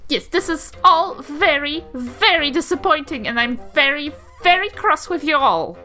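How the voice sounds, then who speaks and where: Woman acting as stern woman adventurer, New Zealand accent, very high bright voice, swooping pitch, prim, emphatic, lecturing, tabletop game. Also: lively intonation, moderate pace, faint music behind. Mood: indignant scolding. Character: stern, scolding, politely indignant woman adventurer.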